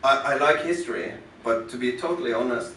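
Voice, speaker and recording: A man from western Norway with a Norwegian accent, talking to a concert audience from the stage in a halting, thoughtful way with many pauses and restarts. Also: medium pitch, fairly bright tone, slight background noise. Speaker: musician introducing his work on stage. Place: concert club venue. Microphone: stage microphone through the house speakers, recorded from the audience. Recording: roomy venue sound recorded from far off.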